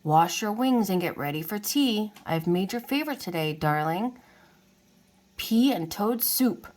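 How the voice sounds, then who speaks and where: Caring storybook mother dragon, motherly and coaxing, unhurried, read by a woman. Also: mid-range voice, expressive, darkish tone, natural voice. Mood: doting. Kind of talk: children's storybook character reading.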